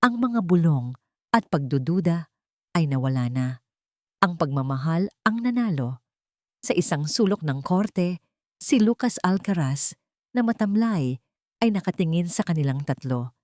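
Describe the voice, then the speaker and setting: A Filipino-accented woman tells a sentimental drama story from a script. Her voice sits on the low side for a woman. The sound is dry, with very little room around it. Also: animated, unhurried, pauses often, no echo.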